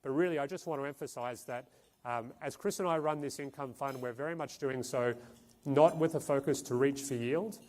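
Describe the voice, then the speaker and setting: Halting man explaining a fund. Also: medium pitch, a little mellow. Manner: hesitant, with frequent restarts and repeated words. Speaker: fund manager. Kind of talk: conference presentation. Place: conference stage.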